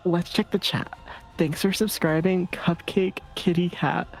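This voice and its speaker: A woman voicing a young streamer girl who sweetly performs for followers with halting, cutesy patter. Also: lowish voice, fairly even intonation, a little mellow, faint music behind, rough recording. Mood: polite, cutesy.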